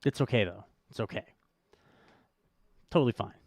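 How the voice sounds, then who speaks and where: A man's voice: lowish voice, normal speed, gravelly, darkish tone, no echo. A man co-hosting a casual unscripted talk show, rambling, repeating phrases for emphasis and slipping in dry jokes. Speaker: co-host of a crypto show. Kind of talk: casual unscripted chat about cryptocurrency.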